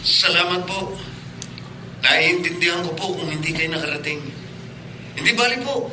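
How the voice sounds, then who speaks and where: Casting: polite young male nephew acted by a man, high-pitched, cheerful, echoey radio drama. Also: normal speed, background noise, close to an everyday voice. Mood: polite, happy. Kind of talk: Filipino radio drama acting.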